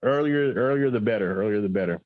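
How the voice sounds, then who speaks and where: A man's voice: lowish voice, expressive, quick pace. A man in a casual livestream team chat, easygoing and agreeable, often repeating short phrases. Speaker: team's financial advisor. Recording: dull, lo-fi call audio.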